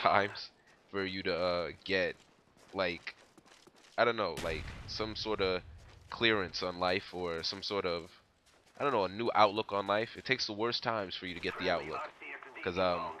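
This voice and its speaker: A man's voice: sits low, pushed and bright, a little hiss or hum. A young man with a stuffy cold, rambling casually through his gameplay commentary. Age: young adult. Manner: rambling, laid-back, many fillers.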